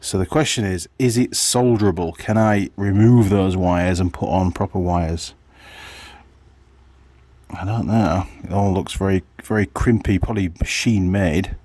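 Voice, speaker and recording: Husky, low-voiced man muttering through a repair. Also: steady tone, unhurried, a little mellow, no room sound. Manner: muttering to himself and viewers while working. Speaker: vlogger, hobby mechanic. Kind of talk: casual hands-on workshop commentary.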